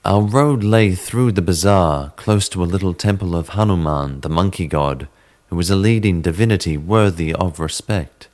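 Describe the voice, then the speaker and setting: A man giving a measured, theatrical dramatic reading of a classic horror story as narrator, in the manner of a storyteller. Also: low-pitched, a little mellow. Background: sitar music.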